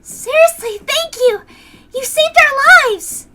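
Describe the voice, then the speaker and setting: A woman voicing a gentle, eager, grateful teenage girl in an amateur reading of a visual novel. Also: very high-pitched, slow, heavy background noise, pitched above the performer's own voice. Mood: bright, grateful.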